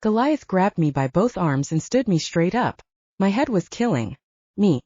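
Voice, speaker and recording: Woman doing audiobook narration, mellow tone, very animated intonation, slightly lo-fi recording. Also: mid-range voice, a little slow, husky, no echo. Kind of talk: dramatic first-person fiction narration.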